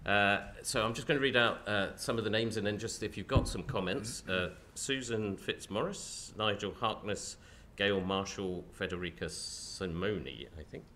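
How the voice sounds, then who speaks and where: A man with a cold, chairing a panel discussion in a lecture hall, speaking in a croaky, hesitant, measured way. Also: lowish voice, bright, forceful tone, slight background noise.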